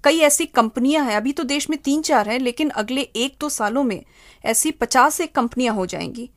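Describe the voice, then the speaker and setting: High-voiced woman explaining in an interview. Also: normal speed, no room sound, studio quality. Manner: explanatory, spells out terms with examples. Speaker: human resource consultant, interview guest.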